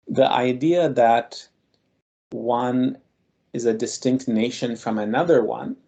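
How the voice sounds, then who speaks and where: A man speaking as a guest scholar in an interview, explaining at length in a measured, thoughtful, lecturing way. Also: mid-range voice, gravelly, mellow.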